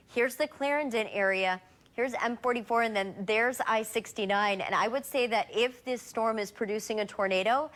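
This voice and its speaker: Higher-voiced woman explaining live weather. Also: a bit bright. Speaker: TV meteorologist. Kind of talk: live unscripted weather commentary.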